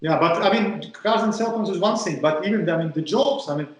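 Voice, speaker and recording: Host, male, Russian accent, fairly high voice, stop-start phrasing, very echoey room. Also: a little fast. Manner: hesitant, repeats words while searching for phrasing. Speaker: podcast host. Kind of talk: interviewing a guest over a call.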